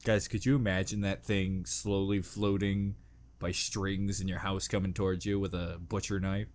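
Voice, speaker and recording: A man's voice: sits low, flat delivery, normal speed, a little mellow. A man giving casual reaction commentary, joking crudely and exclaiming in exaggerated squeamishness. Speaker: reaction video host.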